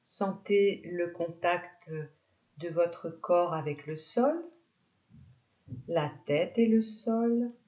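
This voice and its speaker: A woman leading a guided yoga nidra relaxation, giving unhurried, soft instructions with pauses between phrases. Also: fairly low pitch.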